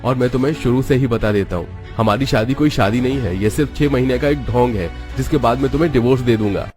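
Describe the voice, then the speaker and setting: A man's voice: mid-range voice, fast, a little mellow, soft background music, natural voice. A man playing a callous, rich young bridegroom in a story drama, curt and contemptuous. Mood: angry, scornful.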